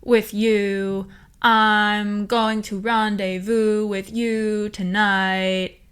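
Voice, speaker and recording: A woman voicing a cheerful machine voice that sings loudly and slowly. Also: medium pitch, flat delivery, clean tone. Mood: singing. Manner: caterwauling, slow drawn-out singing.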